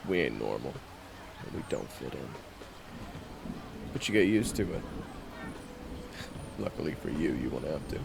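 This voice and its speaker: Man playing a gruff, gravelly, deep monster hunter. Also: big pitch swings, unhurried, long pauses, noisy background, full character voice. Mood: bitter, weary. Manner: blunt, weary, slangy. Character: gruff bitter male monster hunter, blunt and unfriendly. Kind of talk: audio roleplay drama acting.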